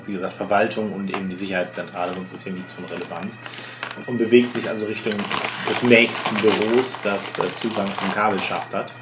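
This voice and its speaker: A man with a lowish voice works as game master, narrating a tabletop role-playing game and calling for rolls. The recording is roomy and echoey, with clear noise behind him.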